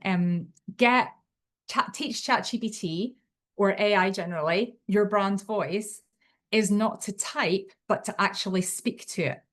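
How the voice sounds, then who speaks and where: A woman with a Belfast accent giving a live online tutorial, talking in an enthusiastic, chatty and unpolished way over a computer microphone. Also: mid-range voice. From Northern Ireland. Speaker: webinar presenter.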